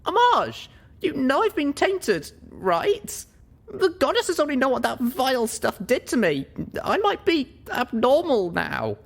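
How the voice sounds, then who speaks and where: A man's voice: extremely high pitch, huge pitch swings, unhurried, gravelly, pitched above the performer's own voice, heavily acted. A man voicing a small, anxious young pony heroine with a fretful, earnest manner in an audiobook story. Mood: anxious, hesitant.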